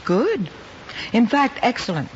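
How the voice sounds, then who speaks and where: A woman acting a kindly, wry high school teacher who reassures with dry humor, in 1950s radio drama style. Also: sing-song, slow pace. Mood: frank, reassuring. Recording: muffled, faintly noisy old radio recording.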